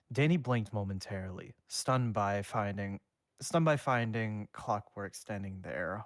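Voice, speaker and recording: A man co-hosting a casual stream, laughing loudly and swearing offhandedly, who also reads a fanfic aloud. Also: lowish voice, dry recording, studio quality. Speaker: co-streamer and reader.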